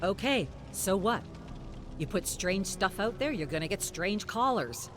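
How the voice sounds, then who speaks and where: A dismissive, stubborn TV producer, read by a game narrator with curt, clipped replies. His lines swing about in pitch, with game music clearly behind. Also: expressive, measured pace, lightly acted. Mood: worried. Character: stubborn male TV show producer.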